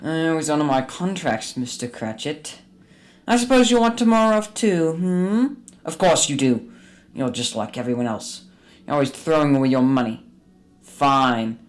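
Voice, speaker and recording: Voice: mid-range voice, lively intonation, normal speed, natural voice. An actor playing a bitter, miserly old businessman who grumbles and scolds curtly in a radio drama. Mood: grumbling, impatient.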